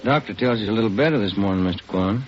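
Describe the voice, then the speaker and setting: An actor playing a dry, practical police detective in a vintage radio drama, speaking in terse, understated phrases. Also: male voice, fairly low pitch, fast, close to an everyday voice. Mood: businesslike. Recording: muffled, noisy vintage radio recording.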